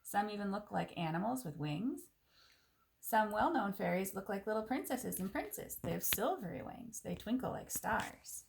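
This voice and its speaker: A woman reading a children's picture book aloud in an expressive, clearly phrased way, with casual asides. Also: mid-range voice, normal speed, a little hiss or hum, low-quality recording.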